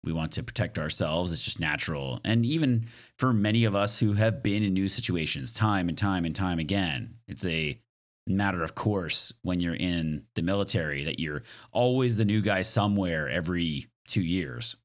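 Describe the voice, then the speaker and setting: Male solo podcaster, low-pitched and smoky with slight hoarseness; conversational, reflective, self-deprecating. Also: normal speed. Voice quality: raspy, smoky. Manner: self-deprecating, joking asides. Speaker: podcast host.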